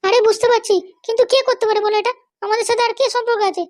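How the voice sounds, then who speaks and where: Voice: extremely high pitch, flat delivery, racing. A performer voicing a bossy, suspicious, scheming Bengali wife and mother in a comedy cartoon, with a childlike voice and a nagging, commanding manner. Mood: impatient, scheming. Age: middle-aged. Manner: nagging, commanding, keeps demanding to be understood. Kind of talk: animated comedy cartoon dialogue.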